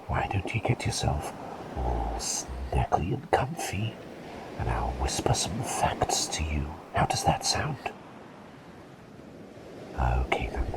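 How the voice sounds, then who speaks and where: A man playing an eccentric, kindly plague doctor in a breathy whispered ASMR roleplay, speaking in a gentle, fussy, quaintly polite manner. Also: a bass voice, exaggerated voice, low-quality recording. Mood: soothing, attentive.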